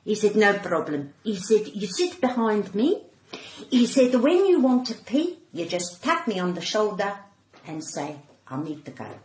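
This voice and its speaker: A woman's voice: mid-range voice, sing-song, unhurried, darkish tone. A middle-aged woman with a cut-glass British accent, a comedian telling stories in a theatrical, conversational way and acting out the dialogue. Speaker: comedian and podcast guest. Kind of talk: animated conversational storytelling. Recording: roomy echo, faint background noise.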